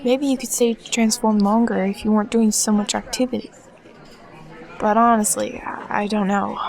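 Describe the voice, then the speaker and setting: A woman's voice: medium pitch, steady tone, moderate pace, vocal fry, a little mellow, some chatter behind, close to an everyday voice. A woman voicing a brainy, helpful young boy in an audio drama, explaining things analytically. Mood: thoughtful.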